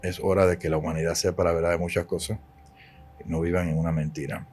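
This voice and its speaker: Deep-voiced Cuban priest, emphatic. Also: male voice, flat delivery, quick pace, darkish tone, slightly rough. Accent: Cuban Spanish. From Cuba. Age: 40s. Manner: emphatic, preachy, repeats key words. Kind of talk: podcast interview.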